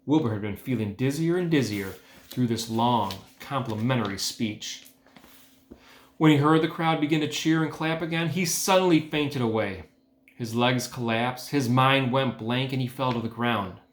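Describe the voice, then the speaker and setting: A man reading a children's book aloud as host, with expressive, emphatic phrasing. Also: mid-range voice, moderate pace. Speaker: read-aloud host, educator. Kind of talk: storybook read-aloud narration. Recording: echoey room.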